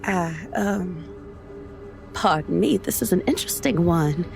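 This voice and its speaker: A woman playing a polite, tactful radio advice host who counsels in a gentle, measured manner. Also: fairly low pitch, expressive, vocal fry, over music. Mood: polite, flustered.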